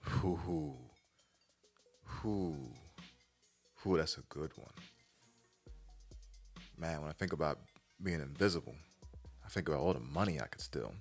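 A man's voice: a bass voice, measured pace, vocal fry, pauses often, faint music behind. A man with an American accent co-hosting a podcast, giving blunt opinions in casual, slangy banter.

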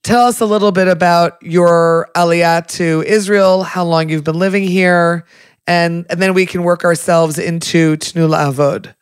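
A woman hosting a weekly news podcast, asking measured, friendly questions. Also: lowish voice, steady tone, clear, resonant tone, no echo, clean studio sound. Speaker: podcast host. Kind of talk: interview.

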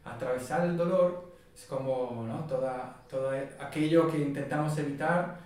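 A man, a body-focused therapist and interview guest, explaining his approach in a calm, measured way. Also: medium pitch. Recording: very echoey room, distant mic.

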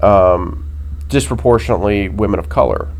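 A man's voice: lowish voice, moderate pace. A man hosting his own political podcast, sounding nasal from a cold, blunt and opinionated, with many ums and rhetorical "right?"s. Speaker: podcast host. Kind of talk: casual political commentary podcast.

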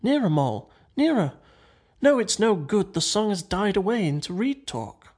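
A man's voice: on the high side, animated, a little slow. A man voicing a poetic water rat in a storybook, murmuring in a dreamy, languid way. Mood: rapt, chanting. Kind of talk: storybook character dialogue.

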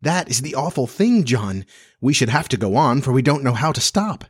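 A man's voice: mid-range voice, animated, moderate pace, breathy edge, no room sound, studio quality, voice pushed up. A man voicing an earnest, anxious young girl, a bossy elder sister in a storybook, with an insistent, reproving manner. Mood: worried.

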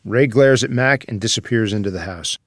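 A man's voice: lowish voice, a little fast, no echo, studio quality. A man reading stage directions as scripted narration for a screenplay.

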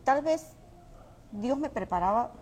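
A woman's voice: a little high, expressive, a little slow, darkish tone. A woman from Venezuela, a guest telling personal stories in a casual interview. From Mérida, Venezuela. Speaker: interview guest, small business owner. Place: restaurant. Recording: faint background noise of a restaurant.

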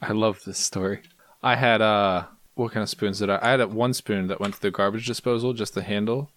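A man's voice: fairly low pitch, fairly even intonation, brisk, fairly bright tone, no room sound. A man hosting a casual podcast, playful and self-mocking as he reads out scores. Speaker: podcast host.

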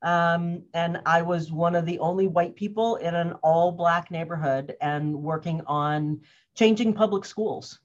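An American woman in her fifties, a guest answering interview questions in an earnest, reflective way, laughing often and pausing on fillers. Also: lowish voice. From United States. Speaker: guest, organizational wellness consultant. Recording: muffled lo-fi audio.

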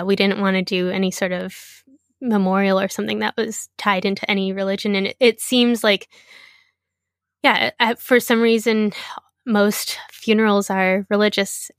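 A young adult woman being interviewed, talking in a halting, casual way with many fillers and restarts. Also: medium pitch, a bit bright, dry recording, clean studio sound.

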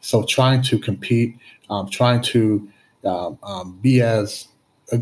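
A man's voice: on the low side, slow pace, poor audio. Middle-aged man with a slight lisp. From Canada. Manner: reflective, frequent restarts and repeated words. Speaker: guest. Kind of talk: conversational interview storytelling. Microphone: video call.

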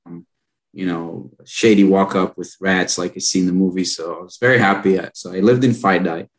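Israeli-accented founder, late 30s, deep voice with gravel, easygoing storyteller who trails off. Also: male voice, a little fast, poor audio. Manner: relaxed storytelling, frequent fillers and trailing off. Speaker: company founder and guest. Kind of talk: casual interview chat.

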